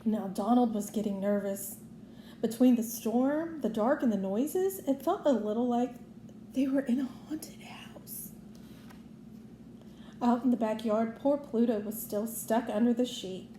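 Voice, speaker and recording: Female storybook narrator for children, unhurried and high-pitched, recorded in a roomy space. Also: dark tone. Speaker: storybook reader. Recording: roomy echo, faint background noise.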